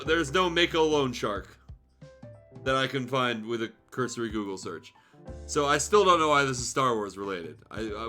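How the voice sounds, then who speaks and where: A man's voice: mid-range voice, pushed and bright. A man streaming a fan fiction read-aloud, switching between narration and wry, sarcastic, sweary commentary.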